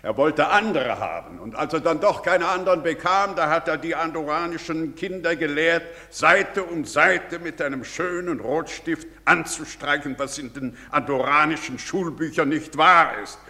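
An actor playing a jovial, lecturing doctor in a radio drama. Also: male voice, on the high side, moderate pace, few pauses, sharp tone. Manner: jovial, lecturing, drops Latin phrases. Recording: long hall reverb.

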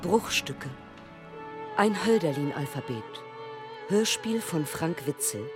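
A man performing as a sober, measured narrator in a literary radio play. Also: on the high side, animated, over music.

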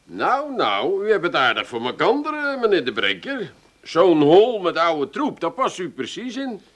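A man's voice: high-pitched, wildly swooping pitch, a little slow, a bit bright, exaggerated voice. An actor playing a snide, fussy male customer with an Amsterdam accent in a radio play. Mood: mocking. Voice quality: teasing, fussy.

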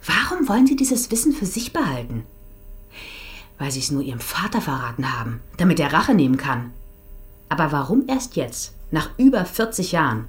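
A woman's voice: deep voice, very animated, normal speed. A woman playing a police investigator in a German radio crime drama, questioning in a probing, insistent, accusatory manner. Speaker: police interrogator.

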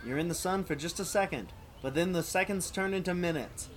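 Guy doing a whiny sarcastic sidekick dude, high swoopy voice, comedy skit, bit of outdoor noise behind. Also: a little fast, lightly acted. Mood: grumbling, sarcastic. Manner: dry, complaining. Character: grumbling, sunburned, sarcastic male sidekick avoiding sun. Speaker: comic sidekick.

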